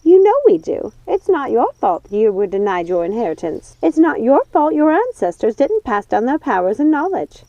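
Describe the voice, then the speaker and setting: Lady doing a high swoopy witch sister, fussy and coaxing, storybook style, super dry recording. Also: very animated, moderate pace, few pauses, warm tone, no echo, lo-fi sound. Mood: reassuring. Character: odd witch sisters, centuries old yet young-looking, fussy, reassuring.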